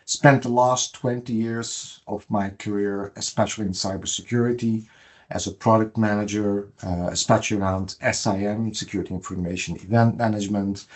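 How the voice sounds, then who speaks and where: A man's voice: lowish voice, fairly even intonation, normal speed. A middle-aged man from Belgium with a Flemish accent, a guest expert on a podcast, explaining step by step with frequent fillers and restarts. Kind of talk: podcast interview.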